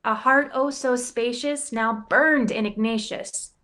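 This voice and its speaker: A woman reads a rhyming children's book aloud in a slightly high voice. She takes her time over each line, with a playful, expressive lilt that lets the rhymes land. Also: slow. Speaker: campus ministry director reading a picture book. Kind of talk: storybook read-aloud in rhyme.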